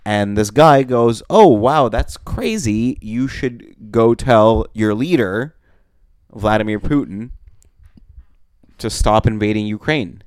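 A young man from Russia co-hosting a podcast, telling a story in a deadpan comic way that turns loud when he gets riled. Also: fairly low pitch, slow, clear, resonant tone, dry recording. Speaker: podcast co-host. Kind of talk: casual comedic chat.

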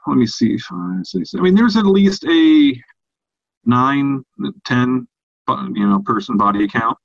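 A man co-hosting a podcast, giving a casual film review and thinking aloud in a halting way. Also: medium pitch, creaky, no echo, highs cut off. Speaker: podcast co-host.

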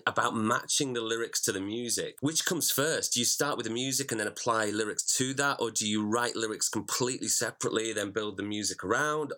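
Hesitant male interviewer, bright voice. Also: on the low side. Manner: long hesitant questions with many fillers. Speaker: podcast interviewer. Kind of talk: casual interview.

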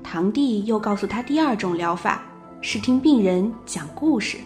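A woman's voice: medium pitch, expressive, moderate pace, a little mellow. A woman reading scripted narration for an audio drama. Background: music.

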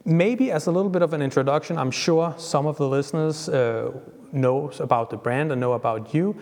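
A man hosting a podcast interview in an atelier, talking casually and eagerly with many pauses and restarts. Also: on the high side, darkish tone, in a large hall. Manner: many fillers and restarts, enthusiastic. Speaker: podcast host. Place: fashion atelier.

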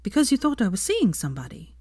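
A woman's voice: mid-range voice, huge pitch swings, fast, mellow, slightly rough, dry recording, clean studio sound. A woman voicing an indignant, outspoken young witch in a story, emphatic and pointed. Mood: pointed, curious.

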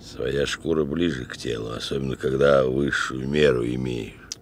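An actor playing a wary, well-fed fugitive criminal in a radio play, talking slyly and tersely. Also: male voice, a bass voice, steady tone, a little hiss or hum. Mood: sly, watchful. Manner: sly, unhurried, terse underworld talk.

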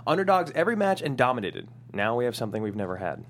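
A man's voice: medium pitch, very animated, quick pace, slight background noise. A man playing a defensive, self-important young esports manager in a comedy table read, earnest in delivery.

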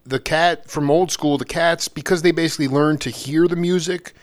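Male interviewer, fairly high voice, loose slangy halting questions. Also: steady tone. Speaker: interviewer host.

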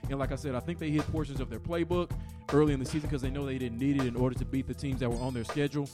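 A man's voice: medium pitch, fairly even intonation, very fast, over music. A man co-hosting a sports podcast, giving enthusiastic analysis. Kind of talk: casual sports chat.

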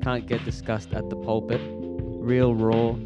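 A man, hosting a casual faith podcast in an earnest, halting way with many restarts. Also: medium pitch, level tone, lo-fi sound, over loud music. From Philippines, raised in Australia. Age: 20s. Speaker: podcast host. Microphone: podcast microphone.

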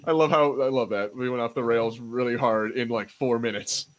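A male podcast host talks in loose, casual banter about pop culture. His delivery is informal and conversational, peppered with swearing, and his voice sits at an ordinary middle pitch. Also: steady tone, moderate pace, poor audio.

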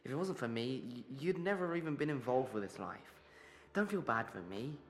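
Man acting a lively, remorseful young jailed thief. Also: mid-range voice, moderate pace. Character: remorseful young petty thief in prison, resolute to reform.

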